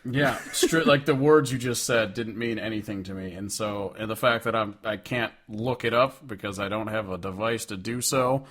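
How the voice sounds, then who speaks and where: A man's voice: on the low side, normal speed, few pauses, fairly bright tone. A man chatting casually as a podcast co-host, blunt and joking, with plenty of swearing. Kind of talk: casual chat.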